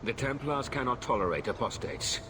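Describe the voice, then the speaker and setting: An actor voicing a stern, formal Templar officer in a fantasy video game. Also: male voice, medium pitch, expressive, brisk, noisy background, natural voice.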